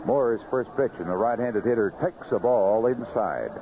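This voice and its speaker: A man's voice: fairly low pitch, moderate pace, narrow, tinny audio. An American man calling baseball play-by-play on radio in clipped, descriptive phrases. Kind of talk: live radio sports commentary. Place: outdoor ballpark broadcast booth. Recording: noisy vintage 1970s AM radio broadcast.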